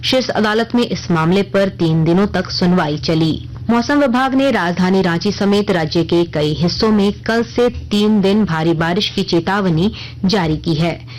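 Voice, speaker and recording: A woman reading a scripted radio news bulletin in a formal, measured newsreader manner. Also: medium pitch, darkish tone, low-quality recording. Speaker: news reader.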